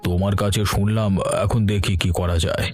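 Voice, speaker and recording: A man with a West Bengal accent voicing a scheming, domineering village headman in a cartoon, speaking in a measured, condescending way. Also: lowish voice, flat delivery, darkish tone, faint music behind, lightly acted. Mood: evasive. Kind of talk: cartoon voice acting.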